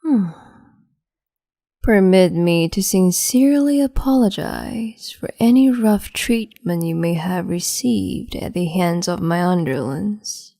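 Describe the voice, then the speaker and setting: A woman voicing a cruel, capricious empress in an ASMR roleplay, speaking slowly and mockingly and slipping into whispers. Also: on the low side, gravelly, warm tone, no echo, studio quality. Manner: slow, mocking, condescending, drifts into whispers.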